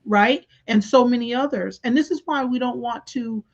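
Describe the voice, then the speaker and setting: A woman's voice: fairly high pitch, moderate pace, creaky, highs cut off. A woman educator guest speaking earnestly and reflectively in a casual interview, often restarting her sentences as she thinks.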